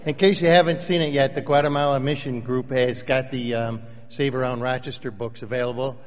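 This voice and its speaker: A man's voice: medium pitch, steady tone, fairly quick. A man making a short, informal fundraiser announcement at a church service. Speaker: church mission group member. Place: church sanctuary. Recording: reverberant hall.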